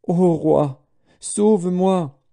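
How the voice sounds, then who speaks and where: Man voicing a pleading widow, raised pitch. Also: drawn-out words, creaky, mellow, full character voice. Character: grieving widow pleading before a king. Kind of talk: scripture storytelling.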